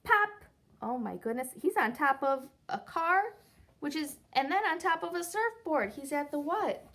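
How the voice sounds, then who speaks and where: Young female teacher, unhurried children's read-aloud, slightly high voice, counts and quizzes the kids. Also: animated, fairly bright tone. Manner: asks the children questions, counts along.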